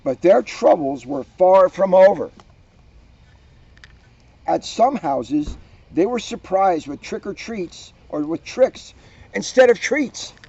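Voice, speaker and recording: Slow, very lively male storyteller. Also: high-pitched, creaky, slightly rough, dry recording. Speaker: police officer reading a children's book. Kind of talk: read-aloud storytelling with casual asides. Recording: dull, muffled lo-fi sound.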